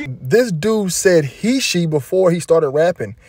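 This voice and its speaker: A man's voice: a little high, lively intonation, measured pace. A man giving casual, indignant reaction commentary over music videos, rambling and emphatic.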